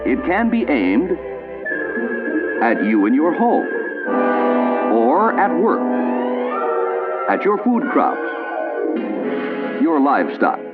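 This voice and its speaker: A man's voice: mid-range voice, huge pitch swings, slow, pauses often. An actor playing a stern, authoritative man warning the public in an emphatic, ominous 1950s instructional film style. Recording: tinny old film soundtrack, loud music.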